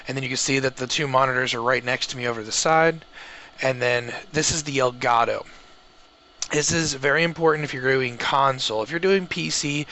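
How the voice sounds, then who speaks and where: A man's voice: medium pitch, pushed and bright, a little hiss or hum. A man gives an informal how-to tutorial, explaining things step by step in a casual way. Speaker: tutorial host, gamer and streamer.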